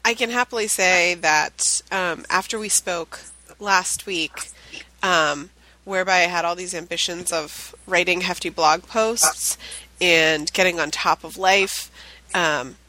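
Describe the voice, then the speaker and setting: Bright-voiced chatty woman podcast host. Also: on the low side, takes it slowly, poor audio. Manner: chatty and rambling, with frequent ums. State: recovering from the flu, with a chest cough. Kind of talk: casual chat. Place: home office, at a standing desk.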